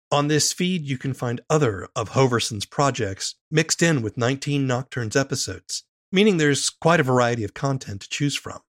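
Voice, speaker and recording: A man's voice: medium pitch, fairly bright tone. A man hosting a podcast in a scripted, presenting style as he introduces and interviews. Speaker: podcast host.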